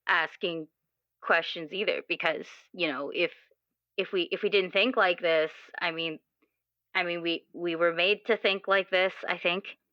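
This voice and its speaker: A woman playing an earnest, questioning young character in improvised roleplay, speaking haltingly with many repeated words. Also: on the low side, fairly even intonation, bright, forceful tone, no echo, dull audio. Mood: nervous, earnest. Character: earnest, questioning twenty-year-old, restless with their assigned role. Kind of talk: improvised actual-play roleplay dialogue.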